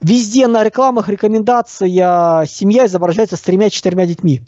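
High-pitched male commentator, emphatic and didactic. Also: brisk, warm tone, dry recording, lo-fi sound. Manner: emphatic, didactic, short clipped phrases. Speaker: political commentator. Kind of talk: opinionated talk, explaining policy.

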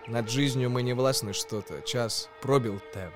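A man, an actor, narrating a tale in verse for a radio play in an expressive, declamatory way. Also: medium pitch, a little slow, music in the background.

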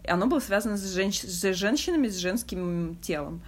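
A young woman with a mid-pitched voice talks as a podcast host. She is frank and reflective, keeping it conversational and often searching for the right phrase. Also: animated, fairly quick. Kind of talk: candid casual conversation.

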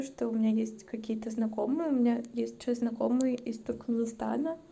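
A woman's voice: a little high, gravelly, dark tone. A woman from Russia's North Caucasus, speaking as a podcast guest in a reflective, casual chat.

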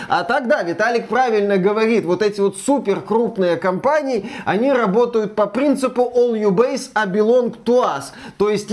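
High-voiced man giving ironic gaming commentary. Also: darkish tone. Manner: joking, acts out mock corporate dialogue. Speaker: co-host of a gaming news show.

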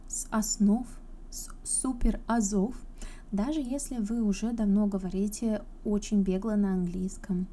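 Very dark-voiced woman teaching pronunciation. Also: mid-range voice, a little hiss or hum. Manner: chatty, explanatory, addresses viewers directly. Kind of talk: informal video lesson to camera.

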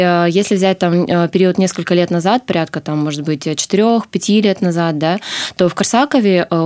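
A woman's voice: lowish voice, darkish tone. A woman, talking in an interview as a business owner and checking with small tag questions as she explains. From Sakhalin, Russian Far East. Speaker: florist shop owner, podcast guest. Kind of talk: interview talk.

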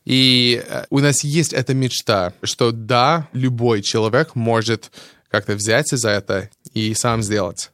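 A man's voice: mid-range voice, a little slow, no room sound, studio quality. Man speaking Russian. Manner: lively, searching for words with fillers. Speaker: guest actor. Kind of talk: casual chat.

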